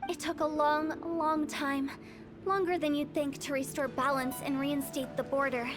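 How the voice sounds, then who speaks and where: A woman's voice: high voice, little pitch movement, normal speed, background noise, natural voice. A woman voicing a quirky, cryptic teenage girl funeral director in a video game, with a theatrical, articulate delivery. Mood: solemn, cryptic. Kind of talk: video game voice acting, storytelling. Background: game music.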